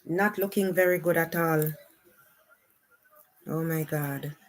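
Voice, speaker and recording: Jamaican woman, live stream host. Lowish voice, very slow, casual commentary with repeated exclamations. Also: fairly even intonation. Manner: unhurried, repetitive exclamations.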